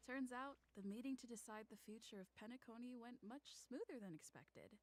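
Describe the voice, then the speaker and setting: A woman's voice: on the high side, a little fast, close to an everyday voice, low-quality recording. A woman playing an upbeat young businesswoman in game dialogue. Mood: pleased, upbeat. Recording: faint music behind the voice.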